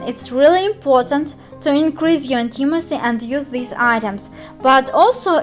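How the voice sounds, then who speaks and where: A young woman with a Russian accent explains a strategy game step by step, her voice pitched high and bright. She speaks carefully, pausing on fillers as she searches for words. Soft music plays faintly underneath. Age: young adult. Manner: careful, hesitant, frequent fillers. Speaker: game guide youtuber. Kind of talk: screen-recorded game tutorial.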